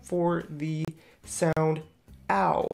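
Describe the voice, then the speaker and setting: Low-voiced woman teaching pronunciation. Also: flat delivery, a little mellow. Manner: slow, clear, demonstrative. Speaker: accent teacher. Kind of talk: explaining pronunciation in a played video.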